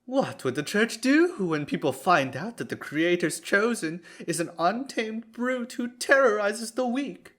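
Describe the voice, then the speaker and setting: A man's voice: sits high, sing-song, a little slow, few pauses, fairly bright tone, heavily acted. An actor voicing a smug, scheming male noble with a goading, mock-sweet, haughty delivery in a visual novel scene. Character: smug, scheming young male noble villain, taunting and theatrical. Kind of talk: dramatic visual novel character acting.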